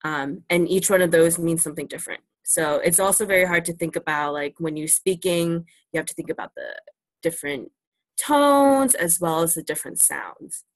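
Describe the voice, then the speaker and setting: A woman's voice: fairly low pitch, expressive, moderate pace, dry recording. A young woman presenting to students over a video call, talking casually with lots of ums and likes. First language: English. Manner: easygoing, many ums and likes. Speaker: student presenter teaching about China. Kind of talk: casual virtual classroom Q&A. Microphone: laptop webcam video call.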